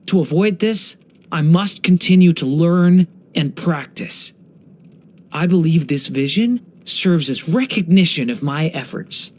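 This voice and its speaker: An actor voicing a gentle, earnest young dragon boy in a video game, speaking with soft-hearted wonder and care. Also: medium pitch. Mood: earnest, resolute. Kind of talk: scripted game character voice lines.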